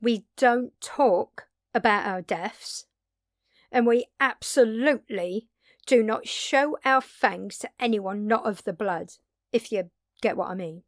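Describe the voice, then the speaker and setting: A woman playing an earnest, blunt, long-lived vampire in an intimate audio drama, speaking haltingly and pleadingly with dramatic pauses. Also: fairly high pitch, no echo, studio quality. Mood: exasperated, insistent. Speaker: voice actor.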